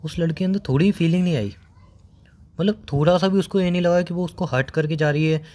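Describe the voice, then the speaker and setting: Tearful hoarse young man on a call. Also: fairly high pitch, darkish tone. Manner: subdued, halting, reluctant to open up. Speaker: boyfriend on a phone call. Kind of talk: emotional phone conversation.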